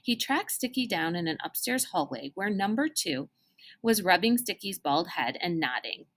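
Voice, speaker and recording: A woman reading a children's book aloud as a storyteller, in an expressive narrating style. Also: lowish voice, normal speed.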